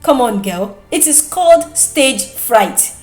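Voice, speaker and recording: A woman's voice: a little high, lively intonation, slow, darkish tone, reverberant, faint music behind. A woman with a Nigerian accent voicing a scheming, nosy young female friend in a gossipy, theatrical, goading manner.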